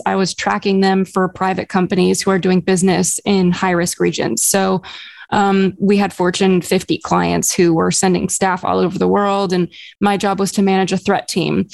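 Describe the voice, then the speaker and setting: A woman in her thirties recounts her path as a guest, in a candid, conversational way. Her voice carries a noticeable gravelly fry at a middling pitch. The sound is close and dry. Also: dry recording. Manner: candid, conversational, quick bursts. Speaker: guest, career coach. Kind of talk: casual interview storytelling.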